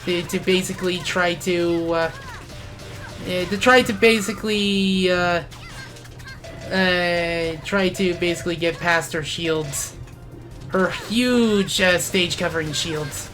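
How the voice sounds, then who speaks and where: A woman giving casual video game commentary, hesitating often and correcting herself as she goes. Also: fairly low pitch. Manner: halting, self-correcting, frequent hesitations. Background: game music.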